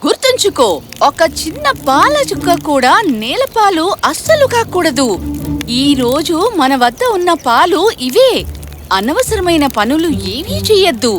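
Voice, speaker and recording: A woman's voice: very high-pitched, big pitch swings, a little slow, soft background music, exaggerated voice. A woman voicing a stern, fretful old village grandmother who scolds and fusses in a children's cartoon fairy tale. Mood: anxious warning. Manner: scolding, exclamatory, fussing.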